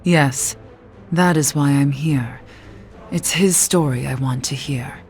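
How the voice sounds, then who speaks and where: A woman playing a mysterious cursed witch in a video game, speaking in a grave, composed, measured way. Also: a bass voice, soft background music, exaggerated voice. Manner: measured, grave, quietly dramatic. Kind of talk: video game cutscene acting.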